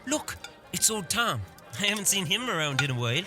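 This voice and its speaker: An actress playing a determined, protective older sister, a young villager speaking earnestly in a fantasy audio drama. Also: female voice, fairly low pitch, a little fast, over music. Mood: curious. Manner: earnest, expressive.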